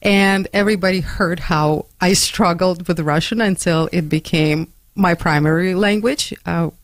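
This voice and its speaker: Middle-aged woman radio host, lowish voice, chatty, close and dry. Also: a little slow, dry recording. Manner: chatty, laughs often, many fillers. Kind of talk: radio interview. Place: radio studio. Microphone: studio microphone.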